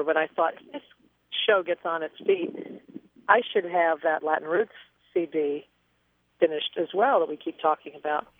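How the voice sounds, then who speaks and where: A woman's voice: lowish voice, no echo. A woman singer telling stories as a guest in a radio interview, chatty and reflective, heard over a phone line.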